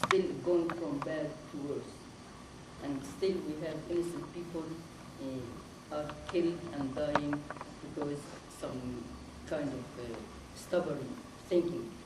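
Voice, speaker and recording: A woman from Palestine speaking on a discussion panel, halting and earnest, with many pauses. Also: deep voice. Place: theatre hall. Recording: distant, echoey hall with noise.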